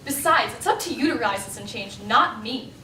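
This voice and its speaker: A woman's voice: on the high side, expressive, a little fast, sharp tone, a little hiss or hum. A young woman acting in a stage play as Marie, a partner worn down by belittling, speaking with bitter emotion, heard from far off in an echoing hall. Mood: resentful. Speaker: student actor. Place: school stage. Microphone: distant audience camera. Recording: echoey stage recorded from far off.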